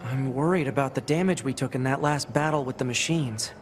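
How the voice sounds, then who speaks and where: A man's voice: medium pitch, a little fast, natural voice. A young man voicing an eager, cautious, talkative android soldier in a video game. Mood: businesslike, earnest. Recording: loud game music behind. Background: loud game music.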